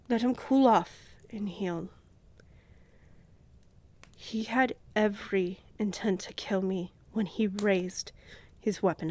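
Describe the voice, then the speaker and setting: A woman plays a commanding vampire countess for a fantasy audio drama. She speaks slowly and regally, coolly indulgent, her breathy voice often sinking toward a whisper. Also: medium pitch, no room sound. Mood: stern, possessive. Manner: measured, regal, condescendingly affectionate.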